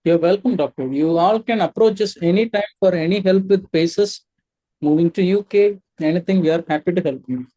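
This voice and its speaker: A man teaching as a medical exam mentor, with a mellow, soft-edged voice. He speaks in an emphatic, instructive lecture style, putting questions to his learners and then answering them himself. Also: high voice, normal speed, poor audio. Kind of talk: coaching lecture.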